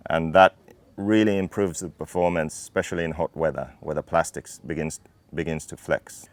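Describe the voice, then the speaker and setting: A man in his thirties from Finland, a racing team owner calmly explaining his car in technical detail. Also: a bass voice, moderate pace, dry recording. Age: 30s. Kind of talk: interview talk about his car.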